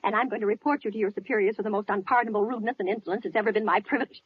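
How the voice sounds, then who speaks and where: An actress playing a nervous, demanding bedridden wife in a 1940s suspense radio play, agitated and querulous, on a crackly vintage recording. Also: female voice, medium pitch, rapid-fire, husky, lo-fi sound, close to an everyday voice. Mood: indignant. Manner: agitated, fretful, querulous, indignant.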